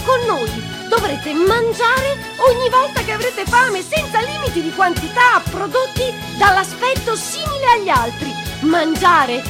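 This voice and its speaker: A woman's voice: extremely high pitch, big pitch swings, unhurried, few pauses, over music, exaggerated voice. A woman playing a manic, grandiose diet-product peddler in satirical theatre, declaiming and chanting insistently with stretched words. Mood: grandiose persuasion. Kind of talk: satirical theatre advertising pitch.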